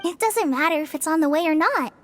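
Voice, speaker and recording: Kind, earnest anime game teenage girl with an extremely high voice, sincere delivery. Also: animated, fast, a bit bright. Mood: friendly. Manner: earnest, encouraging.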